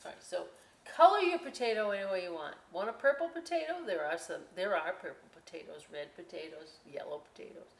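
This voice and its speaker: A woman hosting a children's storytime and craft session, chatty and expressive, speaking directly to young listeners. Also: on the low side, brisk, gravelly. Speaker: library storytime host. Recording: echoey room.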